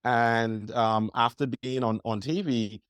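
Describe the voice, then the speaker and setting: A man's voice: mid-range voice, steady tone, takes it slowly, no echo. Young man, podcast guest, eager and rambling, mid-range voice, dry close mic. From Bahamas. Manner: eager, rambling, heavy use of like and you know. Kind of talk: casual interview storytelling.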